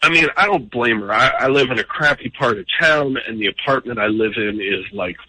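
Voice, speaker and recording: A man's voice: medium pitch, a little fast, sharp tone, poor audio. A man calling in to a radio show, telling a personal story casually in a hesitant, self-deprecating way. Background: background music.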